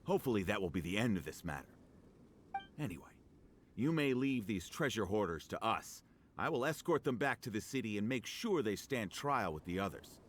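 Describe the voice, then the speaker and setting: Lowish, animated, dutiful officer. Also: male voice, moderate pace, a little hiss or hum. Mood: resolute. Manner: formal, matter-of-fact. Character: dutiful, businesslike male officer escorting prisoners. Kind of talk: video game cutscene acting.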